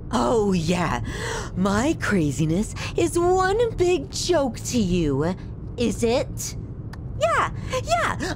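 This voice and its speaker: A woman playing a sadistic, possessive young yandere on the run in an intimate audio roleplay, speaking theatrically with menacing sweetness. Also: exaggerated voice. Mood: bitter sarcasm. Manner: theatrical, teasing, drawn-out phrasing with menacing sweetness. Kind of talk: intimate ASMR audio roleplay, addressing the listener. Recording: rumbling low background noise.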